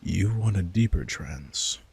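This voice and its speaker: A sardonic, centuries-old vampire king played by a man speaks in a very deep, gravelly voice. He takes his time, teasing his prey with dry menace and casual swearing. It is an intimate audio drama monologue. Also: animated, slow pace, darkish tone, exaggerated voice. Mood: coaxing, predatory.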